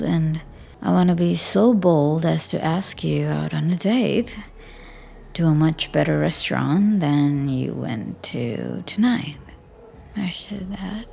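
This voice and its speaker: A woman performing a scripted audio roleplay as a flirty, teasing bartender, speaking softly and often near a whisper. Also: low voice, slow pace, vocal fry.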